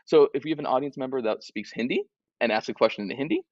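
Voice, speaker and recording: A man appearing as a guest from a tech company, pitching his product in an upbeat, animated way and repeating words as he goes. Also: quick pace, no echo, dull audio.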